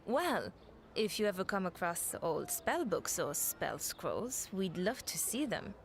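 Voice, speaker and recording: An actress playing a discreet, formal envoy of a magic school in a fantasy game, measured and diplomatic. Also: female voice, on the low side, very animated, slight background noise. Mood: formal, gracious. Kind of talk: scripted fantasy game cutscene acting.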